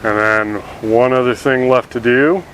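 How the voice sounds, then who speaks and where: Man, mid-pitched, laid-back how-to host, thinking aloud, faint hiss behind. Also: a little slow, rough recording. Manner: relaxed, thinking aloud with fillers. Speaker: vlog host. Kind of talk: casual how-to narration.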